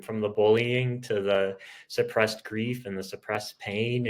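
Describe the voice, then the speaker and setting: A man interviewing a guest, thanking her and asking thoughtful, supportive questions. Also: lowish voice. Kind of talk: interview conversation.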